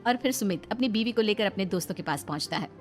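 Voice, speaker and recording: A woman's voice: medium pitch, lively intonation, fast talker, over music. A woman narrating a scripted moral story.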